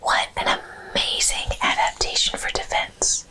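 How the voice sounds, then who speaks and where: A woman host narrating educational animal facts in an ASMR style, whispering slowly and deliberately. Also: rough recording. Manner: whispers slowly and deliberately through most of the talk.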